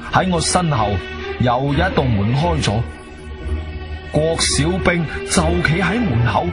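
A man acting as an intrepid adventurer, telling his story in expressive, suspenseful Cantonese radio-drama style. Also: fairly high pitch, unhurried. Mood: ominous. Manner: expressive, suspenseful, colloquial delivery. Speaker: narrator and lead actor. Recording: background music under the voice.

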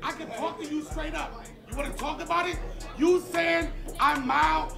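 Guy yelling on a club stage, high gravelly voice, loud crowd and music, echoey. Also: moderate pace. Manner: insistent, repeating himself, shouting back. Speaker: stand-up comedian. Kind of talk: heated on-stage argument.

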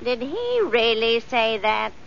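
An actress playing a friendly, curious confidante in a 1940s radio sitcom. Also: female voice, fairly high pitch, sing-song, slow pace, gravelly, heavily acted. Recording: muffled, hissy old radio recording.

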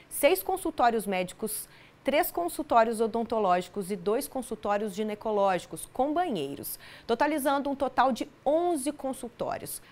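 A woman, presenting scripted news in a formal, clearly articulated way from a TV studio on a lapel mic. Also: a little high.

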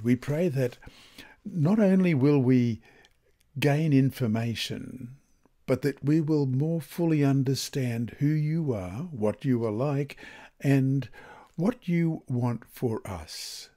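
A man reads a scripted Bible lesson and prayer in a measured, reverent manner with deliberate phrasing. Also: mid-range voice, mellow. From Australia. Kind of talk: scripted devotional narration and prayer.

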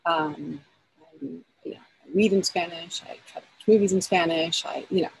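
A woman in her forties talks eagerly on a video call, her voice sitting a little low. She restarts her sentences often as her thoughts run ahead. Faint outdoor ambience hums behind her. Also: normal speed, slight background noise, poor audio. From United States. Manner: enthusiastic, many restarts and fillers, talks with her hands. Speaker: film editor, guest. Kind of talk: casual interview over video call. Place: outdoors in a backyard.

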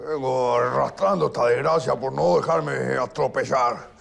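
An actor with a Rioplatense accent playing a proud, desperate gaucho locked up, swinging between soft comfort and furious threats. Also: male voice, mid-range voice, normal speed, gravelly. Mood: bitter. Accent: Rioplatense Spanish. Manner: swings from soft consoling to shouting rage. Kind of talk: drama acting.

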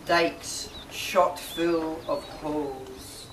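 A man in his thirties giving a measured poetry recital, pausing between short phrases. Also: fairly high pitch, fairly even intonation. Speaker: poet reading own work. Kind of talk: slow live poetry recital. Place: inside a marquee at a live reading. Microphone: camera mic a few metres away. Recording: distant, echoey, noisy camera recording.

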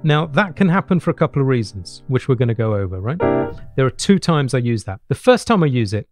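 A middle-aged man talks through a piano lesson at an ordinary pitch. He explains step by step and often adds a short question to check that it is clear. Piano playing is heard clearly along with his close-miked voice. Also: sing-song, fairly quick. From England. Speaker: jazz piano teacher. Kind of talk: instructional lesson talk with piano demonstrations. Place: small home study with bookshelves. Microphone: large studio mic on boom arm, close.

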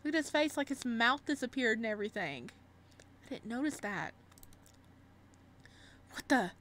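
A woman's voice: fairly high pitch, moderate pace, a little hiss or hum. A woman playing a visual novel, reading its text aloud and reacting expressively as she goes. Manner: expressive, animated reactions between read lines. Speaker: streamer, let's-player.

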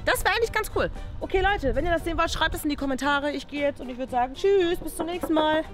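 A woman's voice: high-pitched, fast. A young woman chatting casually as a video host, recorded outdoors on a camera. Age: young adult. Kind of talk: casual challenge vlog chat. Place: outdoors by an open car boot in a parking lot. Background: background music.

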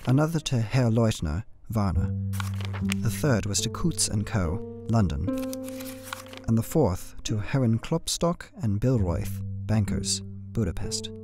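An actor playing an anxious young solicitor, reading his journal in formal, measured language for a radio play. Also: fairly low pitch, big pitch swings. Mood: uneasy. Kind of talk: dramatic first-person narration, radio play. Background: music.

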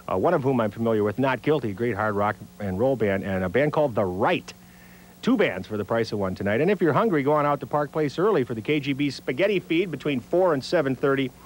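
A man's voice: mid-range voice, slight background noise. A middle-aged American man working as a radio DJ, talking in a casual, wry, easygoing patter. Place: small radio studio. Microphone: large studio mic on a boom arm.

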